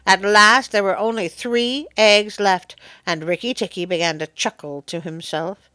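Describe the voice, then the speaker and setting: Casting: female children's storyteller, mid-pitched, expressive narration over background music. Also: measured pace, clean tone, no echo.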